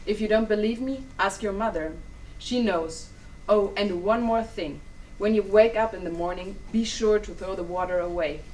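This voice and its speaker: A young woman in a staged play reading, playing a calm, knowing grandmother who gives mystical advice. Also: medium pitch, normal speed, natural voice. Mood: knowing, reassuring. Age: young adult. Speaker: student actor in a dramatic reading. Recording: distant mic, noisy room ambience.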